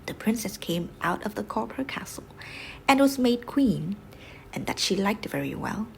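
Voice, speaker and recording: A woman tells a fairy tale for bedtime in a mid-pitched voice. Her delivery is expressive and dramatic, often sinking into a breathy hush. There is faint noise behind her. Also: normal speed, low-quality recording. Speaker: storyteller.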